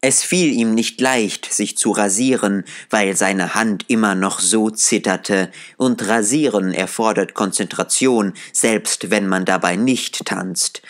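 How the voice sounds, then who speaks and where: A man narrating an audiobook in a calm, measured storytelling voice. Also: fairly low pitch. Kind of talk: scripted narration.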